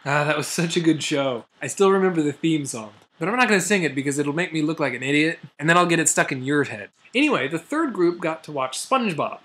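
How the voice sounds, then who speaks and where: A man's voice: a little high, lively intonation, fast talker, few pauses, a bit bright. A young American man hosting a chatty science news explainer, dropping jokey asides and mock exclamations as he goes. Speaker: host of a science news video show. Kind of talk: chatty, semi-scripted explainer to camera.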